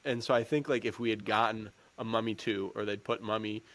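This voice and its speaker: A man's voice: fairly low pitch, steady tone, fairly quick, a bit bright. A man co-hosting a podcast, chatting about films in an informal, rambling, slangy way. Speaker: podcast co-host. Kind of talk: casual chat, film commentary.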